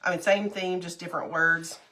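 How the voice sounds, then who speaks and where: A woman's voice: fairly low pitch, steady tone, a little fast, sharp tone, a little hiss or hum, rough recording. Stuffy-voiced chatty woman. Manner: chatty, bubbly, greets viewers by name. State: stuffy from a cold. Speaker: craft livestream host. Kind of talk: casual livestream chat with viewers.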